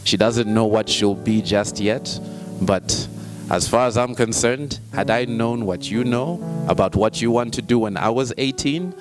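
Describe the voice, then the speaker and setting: A man's voice: on the low side, measured pace. A man from Zambia emceeing a book launch, playful and self-deprecating as he introduces a speaker. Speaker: master of ceremonies. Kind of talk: event hosting. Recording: loud music behind the voice.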